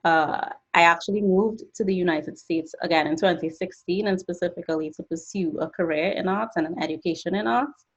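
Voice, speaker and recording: A woman's voice: lowish voice, a little fast, husky. Young woman from Trinidad and Tobago, earnest presenter voice, heard over muffled video call audio. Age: 20s. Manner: earnest, explanatory, frequent "uh" and "so". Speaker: guest artist. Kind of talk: presentation talk. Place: home studio.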